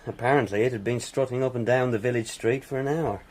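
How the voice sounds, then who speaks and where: Casting: male actor for easygoing police sergeant, mid-pitched, droll and unflappable, respectful to superiors, radio play. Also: fairly even intonation, fast talker, darkish tone, lightly acted. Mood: droll, deferential. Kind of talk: radio drama acting.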